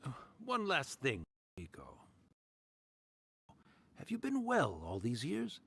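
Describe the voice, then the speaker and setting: Game role: centuries-old storyteller spirit, theatrical and teasing, very lively pitch swings. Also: male voice, slow, creaky, husky, full character voice. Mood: wistful, fond. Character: centuries-old male spirit, playful, nostalgic storyteller artist. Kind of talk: video game dialogue acting.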